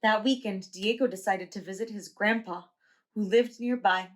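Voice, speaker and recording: A preschool teacher reading a children's picture book aloud, clear, slow and expressive. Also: female voice, medium pitch.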